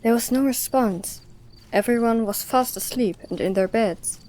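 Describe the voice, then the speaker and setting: A woman's voice: on the high side, a little slow, mellow. A woman narrator reading scripted story narration for an audio drama.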